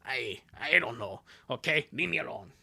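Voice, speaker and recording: A man voicing a moody, defensive tomboy teenage big sister in a cartoon fan dub, blunt and halting. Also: medium pitch, lively intonation, vocal fry, bright, forceful tone, voice pushed down, full character voice. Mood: defensive, upset.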